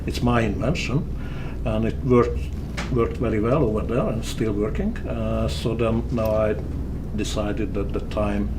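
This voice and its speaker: Man explaining haltingly. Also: fairly low pitch. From Hungary. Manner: halting, explains step by step with many fillers. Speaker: inventor guest. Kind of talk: casual interview answers. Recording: steady background noise.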